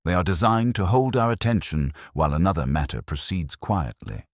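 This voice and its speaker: A shrewd inspector , read by a male storyteller, speaks in a very low, dry-recorded voice. He is unhurried and understated, turning his thoughts into quietly amused maxims for a mystery story. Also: animated, dry recording. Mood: calm, cryptic. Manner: deliberate, aphoristic, understated.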